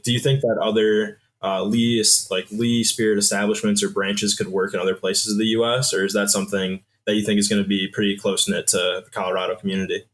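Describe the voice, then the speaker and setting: A man hosting a podcast, asking questions in a relaxed way with some fillers. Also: lowish voice. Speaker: podcast host. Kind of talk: casual podcast interview.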